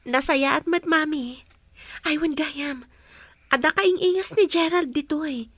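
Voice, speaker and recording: Woman playing a frank student daughter in a radio drama, high bright voice. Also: unhurried, no room sound. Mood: chatty, sheepish. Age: young adult. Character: young female student, frank daughter confiding in her mother. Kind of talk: radio drama acting in Ilocano.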